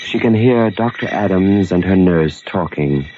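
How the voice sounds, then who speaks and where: Very slow, deep, ominous radio host. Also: male voice, a little hiss or hum. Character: ominous, solemn male host of a mystery anthology. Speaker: announcer and narrator. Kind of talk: 1940s radio horror narration. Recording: muffled old radio recording. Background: music.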